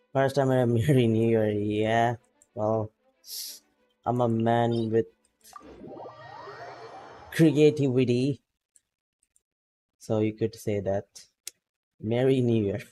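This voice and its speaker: Male streamer, relaxed and slow-talking, casual chatter with frequent laughs. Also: medium pitch, soft background music. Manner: laid-back, chatty, laughs often, greets chat by name. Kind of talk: casual gameplay stream chat.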